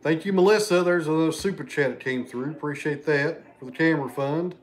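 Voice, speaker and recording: A man's voice: fairly high pitch, brisk, a little hiss or hum. Chatty man on a home livestream. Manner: chatty, thanks viewers by name, loses his train of thought. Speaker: livestream host. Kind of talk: casual livestream chat reading super chats. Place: living room at home, indoors.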